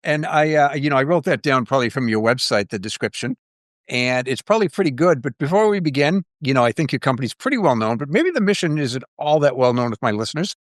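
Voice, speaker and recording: A man's voice: mid-range voice, lively intonation, fast talker, dry recording, clean studio sound. A man hosting a business podcast, asking friendly questions with plenty of fillers. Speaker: podcast host.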